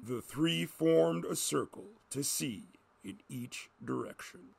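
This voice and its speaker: A man's voice: fairly high pitch, vocal fry, breathy edge. A man reading a scripted story as a dramatic narrator.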